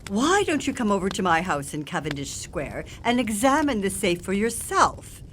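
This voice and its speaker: A woman's voice: medium pitch, sing-song, normal speed, a bit bright. A woman plays a haughty lady of title in an audio drama. She is imperious and teasing, with widely swinging intonation and faint noise behind her. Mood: imperious, sly. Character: haughty, sharp-tongued aristocratic lady plotting a prank. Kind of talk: audio theatre acting.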